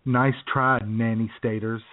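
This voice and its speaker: A man's voice: medium pitch, level tone, measured pace. A man hosting a live radio show in a casual, chatty style. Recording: muffled lo-fi internet radio.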